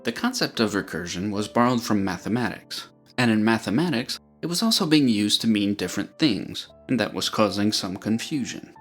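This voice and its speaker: Low-voiced man explaining step by step. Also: expressive, fairly bright tone, soft background music. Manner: clear, measured, explains step by step. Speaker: educational video narrator. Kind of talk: scripted explanatory narration.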